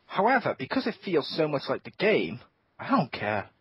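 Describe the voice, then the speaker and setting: A man hosting a casual gaming podcast. He talks in an animated way, swears a lot and acts out his own reactions. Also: fairly high pitch, normal speed, husky, dry recording. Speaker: podcast host. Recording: dull lo-fi podcast audio.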